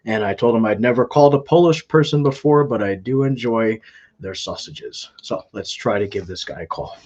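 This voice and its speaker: A man hosting a casual live stream, talking in a halting way with frequent filler sounds. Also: fairly low pitch, darkish tone, dull audio. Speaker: scam-baiting live streamer.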